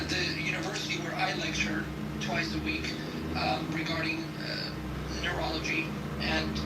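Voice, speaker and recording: A man who lectures in neuroscience, speaking haltingly with lots of fillers in a casual call-in discussion, on distant, echoey, noisy audio. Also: sits high. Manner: halting, heavy with fillers and restarts. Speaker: university lecturer in cognitive neuroscience, guest speaker.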